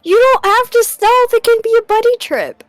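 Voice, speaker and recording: A woman's voice: very high-pitched, lively intonation, normal speed, no echo, soft background music. A woman playing in an online tabletop game, chatting casually with the other players.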